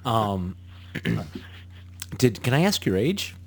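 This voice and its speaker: A man hosting a casual podcast interview, easygoing and quick to laugh along. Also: fairly low pitch, very animated, vocal fry, breathy edge. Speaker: podcast host.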